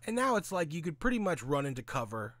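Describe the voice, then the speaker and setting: Man, slightly high voice, very lively intonation, opinionated casual commentary, sweary, sarcastic. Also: fast talker, dry recording. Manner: blunt, sweary, sarcastic, asks and answers own questions. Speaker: host of a gaming commentary audio log.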